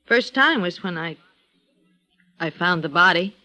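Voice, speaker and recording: An actress playing a weary, matter-of-fact arcade worker in a 1950s radio drama. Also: female voice, fairly low pitch, lively intonation, measured pace, pushed and bright. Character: arcade woman, plainspoken and weary.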